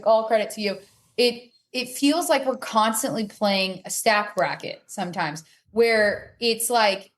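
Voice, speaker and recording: A woman speaking casually and emphatically as an opinionated player being interviewed on a sports podcast. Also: fairly high pitch.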